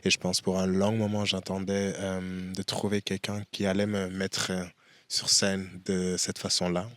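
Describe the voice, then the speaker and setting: A young man from Belgium, a dancer and performance artist, speaking as an interview guest outdoors at a festival, pausing often with fillers. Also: low-pitched, monotone, a bit bright, no echo. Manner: halting, many pauses and "euh" fillers. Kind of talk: casual interview.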